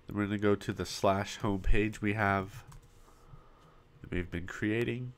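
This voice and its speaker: A man's voice: on the low side, steady tone. A man with a voice he is losing walks through building a website step by step, in a casual screen-recording tutorial, coughing now and then into a popping desktop mic. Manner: casual step-by-step narration with frequent pauses. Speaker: web design tutorial host. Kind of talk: screen-recorded software walkthrough.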